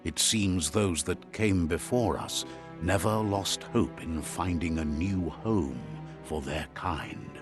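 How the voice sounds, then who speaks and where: A man narrating a game's story calmly, like a storybook, and relaying what a creature says. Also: sits low, slow pace. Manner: calm storyteller, translating a creature's speech. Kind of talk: scripted storybook narration for a game. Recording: game audio with faint music behind.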